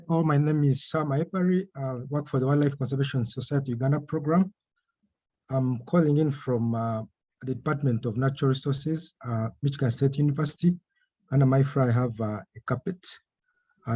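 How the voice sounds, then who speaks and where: A man with a dark, mellow voice introduces himself over a video call. The sound is dry and close, and his delivery is hesitant and halting. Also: mid-range voice, no room sound. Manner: hesitant, with frequent uh fillers. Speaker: workshop co-lead.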